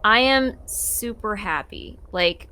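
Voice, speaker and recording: A woman's voice: medium pitch, animated, slow pace, sharp tone. A woman chatting casually as an interview guest in an informal, emphatic way. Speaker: podcast guest, voice actor and software developer. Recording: clear background noise, room ambience.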